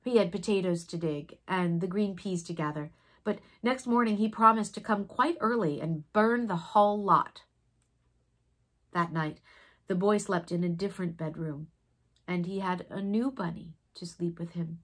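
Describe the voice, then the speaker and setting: Breathy woman reading a children's story. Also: lowish voice. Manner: dramatic, with hushed breathy passages and pauses. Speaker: storybook reader. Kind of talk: expressive children's story narration.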